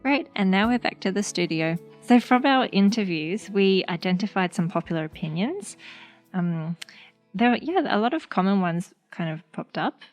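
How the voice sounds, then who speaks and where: A woman's voice: mid-range voice, normal speed, faint music behind. A young woman with an Australian accent, a speech pathologist chatting casually on a podcast with many fillers. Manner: relaxed, lots of fillers and "like".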